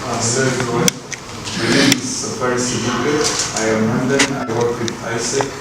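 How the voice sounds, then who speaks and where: A man's voice: mid-range voice, level tone, moderate pace. A young man in his twenties, a recent graduate, introducing himself hesitantly at a meeting. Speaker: student leader. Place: meeting room. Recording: echoey room, distant mic, loud noise.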